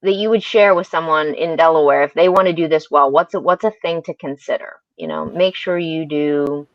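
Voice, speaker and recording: Woman podcast host, lowish voice, chatty and agreeing, slightly muffled audio. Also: a little fast, no echo. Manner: affirming, frequent murmured agreement. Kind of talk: conversational interviewing.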